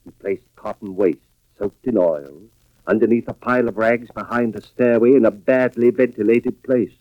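A man's voice: on the low side, no room sound, close to an everyday voice. Man acting grave suspicious husband, muffled old radio drama. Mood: accusing, tense. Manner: deliberate, dramatic, measured delivery. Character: grave suspicious husband, fire investigator, narrating his own story. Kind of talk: 1940s-50s radio drama acting, first-person narration. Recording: muffled vintage radio recording with music behind.

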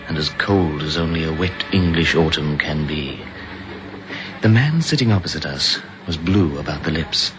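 An actor narrating and playing a brisk traveller in an old radio drama, speaking in a crisp, clipped and commanding way. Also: male voice, sits low. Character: male narrator-hero, brisk English traveller.

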